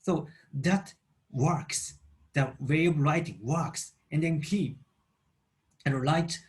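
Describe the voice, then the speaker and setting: A Japanese man speaking English as a second language with a Japanese accent, explaining a book in a halting way as he searches for words. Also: on the high side. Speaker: book channel host.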